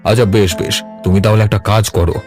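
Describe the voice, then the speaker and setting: A man's voice: fairly low pitch, steady tone, fast, natural voice. A man playing a sinister, vengeful grandfather who can feign kindness in a cartoon story. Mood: coaxing, kindly. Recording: music under the voice.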